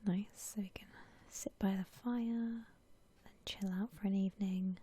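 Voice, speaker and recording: A woman whispering gently and unhurriedly in a soft, breathy ASMR style, musing aloud as she comments on a game. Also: mid-range voice, steady tone, normal speed. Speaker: host of an ASMR gaming channel.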